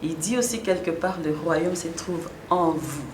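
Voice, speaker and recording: A woman giving personal testimony as an interview guest, searching for her words and often repeating them. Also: lowish voice. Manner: halting, repeats words while searching for French. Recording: echoey room, faint background noise.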